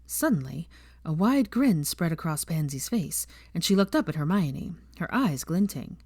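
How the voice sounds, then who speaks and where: A woman's voice: low voice, sing-song, normal speed, warm tone, slightly rough, no room sound, studio quality. A woman reading fiction aloud as an audiobook narrator, delivering scripted story narration.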